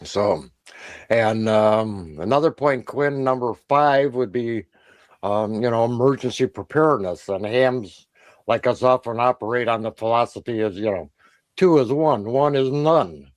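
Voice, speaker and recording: A man co-hosting a radio hobby show, explaining prepared points with a halting, hesitant delivery. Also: mid-range voice, no echo. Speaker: co-host of a ham radio show.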